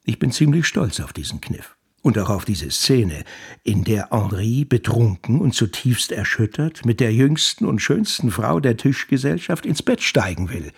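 An actor in a radio drama playing a proud, wry novelist who narrates his own story in a measured, confiding way. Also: medium pitch, sing-song, creaky, husky, full character voice. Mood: amused, proud.

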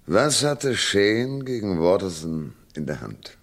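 Deep-voiced, hard-boiled noir detective. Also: male voice, very animated, takes it slowly, full character voice. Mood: dry, weary. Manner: laconic, dry, sardonic. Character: hard-boiled, battered, wisecracking male private detective narrator. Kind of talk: noir radio crime drama, first-person narration and dialogue.

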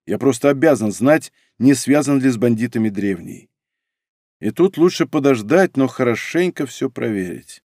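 A man reading a first-person audiobook in a measured, wry way. His voice sits in the middle of the range. The recording is dry and close, with no room echo. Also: mid-range voice, expressive, a little mellow. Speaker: narrator. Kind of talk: audiobook narration.

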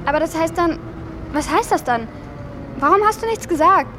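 A woman's voice: very high voice, lively intonation, normal speed, a bit bright, slight background noise. A woman playing a timid, naive teenage girl in a radio drama, hesitant and pleading. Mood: hurt, pleading. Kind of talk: German radio drama acting. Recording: music behind the voice. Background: music.

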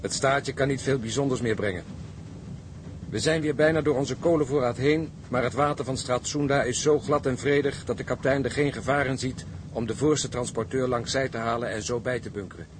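A man's voice: a little fast, natural voice. Casting: man playing sober seafaring officer, mid-range voice, clipped log-book delivery, engine noise. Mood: matter-of-fact. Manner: terse, clipped log-entry sentences. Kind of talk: naturalistic period drama narration and dialogue. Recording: engine rumble and ambient noise.

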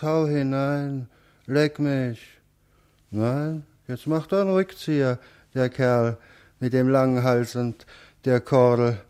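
An actor playing a slow, marvelling bystander in a radio play monologue, speaking in deliberate short phrases. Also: male voice, mid-range voice, dark tone, clean tone, natural voice. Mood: egging on. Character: slow, marvelling male bystander gawking at a crowd.

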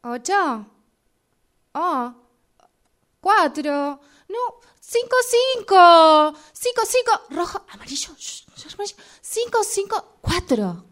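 A woman performs an acting exercise, repeating isolated words with emphasis. Her voice is very high, leaps around in pitch, and leaves long gaps between words. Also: very animated, takes it slowly, a bit bright. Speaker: radio drama workshop student. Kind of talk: acting exercise, reciting colours and numbers expressively.

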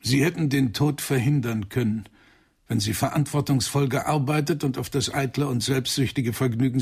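Stern analyst in a radio crime play, bit husky, cold and formal, accusing. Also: male voice, medium pitch. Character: stern male psychoanalyst coldly confronting a murderer. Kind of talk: German radio crime drama acting.

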